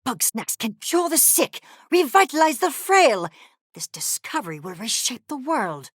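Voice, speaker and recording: A woman's voice: high-pitched, big pitch swings, unhurried, slightly rough, dry recording, clean studio sound, heavily acted. A woman voicing a haughty, eccentric furry creature scientist in a video game, speaking in a verbose, condescending and theatrical way with precise articulation. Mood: intense, fascinated. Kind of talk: video game character acting.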